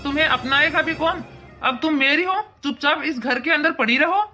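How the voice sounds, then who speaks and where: Woman playing a high, bright, domineering young husband. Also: normal speed, soft background music. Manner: bossy, condescending. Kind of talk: cartoon moral-story acting.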